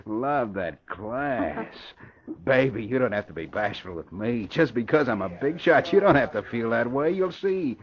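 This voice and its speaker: Man playing cocky racketeer with swooping pitch. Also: expressive, unhurried, natural voice. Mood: smug, bossy. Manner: swaggering, slangy tough-guy talk. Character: cocky, vain male racketeer, slangy and brash. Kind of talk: 1940s radio acting. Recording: muffled old radio recording, crowd noise.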